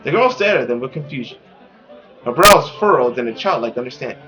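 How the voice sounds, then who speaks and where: A woman's voice: a bass voice, expressive, fairly quick, a bit bright, muffled recording, faint music behind. A woman reading a visual novel aloud as a gaming host, mixing narration with casual asides.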